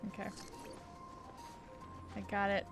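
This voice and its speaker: A woman's voice: slow, soft background music. A woman streaming a game, giving casual, informal live commentary with swearing and short reactions.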